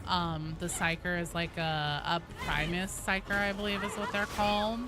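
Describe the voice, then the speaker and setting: A woman with a slightly low voice chats casually as she streams a game. Her talk is informal, slangy and peppered with swearing. Clear game noise runs behind her. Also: little pitch movement, moderate pace. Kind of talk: casual live stream chat with gameplay commentary.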